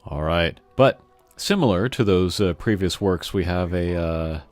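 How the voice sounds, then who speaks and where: A man's voice: low voice, a little slow. A man hosting a gaming channel, giving casual, rambling and hesitant playthrough commentary. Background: game music.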